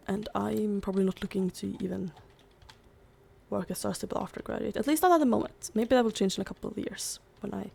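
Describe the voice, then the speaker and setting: A woman's voice: medium pitch, gravelly, dark tone. A young woman chatting casually over gameplay, rambling and saying "like" constantly, her voice strained and giving out. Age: young adult. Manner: rambling, fills every gap with "like". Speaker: gaming YouTuber.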